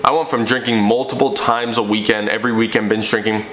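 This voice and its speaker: A man's voice: fairly low pitch, flat delivery, fast, fairly bright tone. A young man and fitness coach telling his personal story to the camera from a living room, emphatic and preachy. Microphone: camera in front, seated framing. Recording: echoey room with faint ambience.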